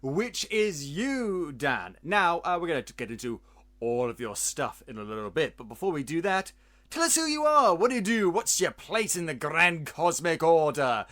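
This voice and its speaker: A man hosting a podcast in casual chat, theatrical and booming, jumping between voices. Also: sing-song, moderate pace, fairly bright tone. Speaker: podcast host.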